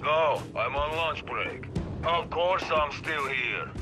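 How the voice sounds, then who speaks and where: Sardonic resistance leader over radio, music behind. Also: male voice, a little high, slow pace. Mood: dry, sardonic. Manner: dry, sarcastic. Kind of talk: video game voice acting.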